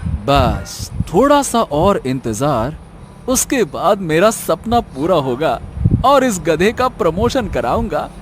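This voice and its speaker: A man voicing a bossy, scheming, foolishly hopeful villager who exclaims theatrically in a comic animated story. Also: on the high side, slow, slight background noise. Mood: scheming, determined.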